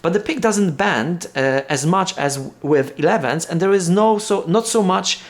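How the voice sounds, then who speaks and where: A man from Poland with a Polish accent and Polish as his first language, talking casually in an unboxing vlog, halting with many fillers and restarts. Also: high-pitched, lively intonation. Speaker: vlogger.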